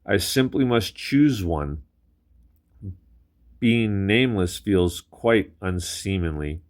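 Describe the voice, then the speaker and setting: A man voicing a huge octopus monster that speaks in a soft, eerily polite, formal way. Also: deep voice, expressive, slow pace. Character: roaring octopus monster, then oddly polite.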